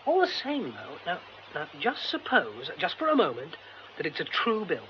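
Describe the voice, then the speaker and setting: An actor playing an excitable, romantic young British theatre man in a radio drama, exclamatory and effusive, often breaking off mid-thought. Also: on the high side, moderate pace. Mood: dreamy, awed. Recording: dull, faintly noisy radio recording.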